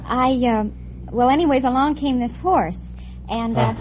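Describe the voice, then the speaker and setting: An American woman from Brooklyn answers a quiz host chattily. Her voice sits a bit high. It is a muffled old radio recording, very noisy, with a loud audience. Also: lively intonation, moderate pace, a loud crowd. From Brooklyn, New York. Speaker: quiz show contestant, housewife.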